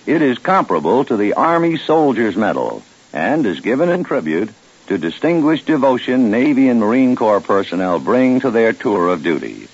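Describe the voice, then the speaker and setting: A man's voice: medium pitch, very animated, moderate pace, husky. A man with an American accent reading a formal scripted public service announcement on old-time radio. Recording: muffled, narrow old radio recording.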